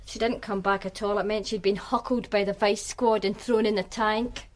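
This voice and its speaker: A woman's voice: mid-range voice, fairly even intonation, moderate pace, natural voice. An actress playing a guarded, streetwise woman from Glasgow with a Glaswegian accent, talking in a dry, sardonic way in a radio drama. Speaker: witness, flatmate of the victim.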